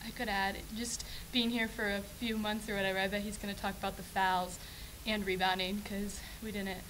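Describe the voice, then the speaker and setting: A woman's voice: medium pitch, level tone, fairly quick, a bit bright, low-quality recording. Young female freshman athlete, earnest, filler-heavy, faint hiss. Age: young adult. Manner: earnest, frequent ums. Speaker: freshman college basketball player. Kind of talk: post-game press conference answers. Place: press room with sponsor backdrop. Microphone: table mic on stand.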